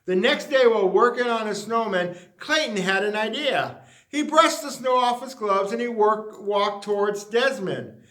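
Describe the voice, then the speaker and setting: A man reading a children's picture book aloud in an animated storytelling style with emphatic, deliberate phrasing. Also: high-pitched. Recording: roomy, echoey sound.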